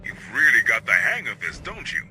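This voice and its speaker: Male military squad leader for a game: medium pitch, steady, sardonic, commanding, amid noticeable vehicle noise. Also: moderate pace, exaggerated voice, rough recording. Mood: wry, steady. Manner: commanding, wry. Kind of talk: video game dramatic dialogue.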